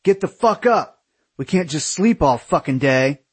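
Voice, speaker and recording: An indignant young man played by a male narrator scolds loudly in a raised, high-pitched voice. He sounds exasperated and repeats himself as he complains, like a character in an audiobook story. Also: sing-song, unhurried, full character voice. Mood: barking, angry.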